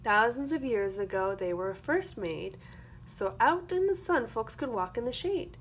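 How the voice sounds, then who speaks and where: A woman reading a children's picture book aloud as a teacher, with expressive, rhythmic delivery. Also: on the high side, a little slow. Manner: rhythmic, expressive delivery of rhyming verse with explanatory asides. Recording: clear background noise.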